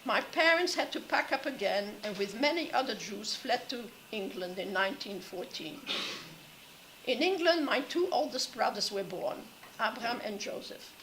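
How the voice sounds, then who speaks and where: An older woman from Belgium, reading her prepared memoir to students in a measured way and often clearing her throat. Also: on the high side, animated, a bit bright, slight background noise, poor audio. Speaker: Holocaust survivor speaker. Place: classroom.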